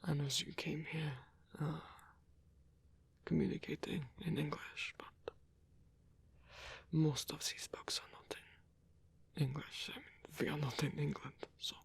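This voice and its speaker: A man playing a lonely, tender old vampire with a German accent in an ASMR roleplay, speaking softly and haltingly with formal phrasing. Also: fairly high pitch, little pitch movement, exaggerated voice. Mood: hesitant, self-deprecating. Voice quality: breathy, husky. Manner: hesitant, halting, formal phrasing, soft-spoken.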